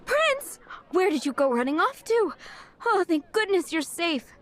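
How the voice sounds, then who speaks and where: A woman's voice: extremely high pitch, big pitch swings, measured pace, a bit bright, heavily acted. A woman voicing a fretful, doting young cat owner who speaks earnestly and emotionally.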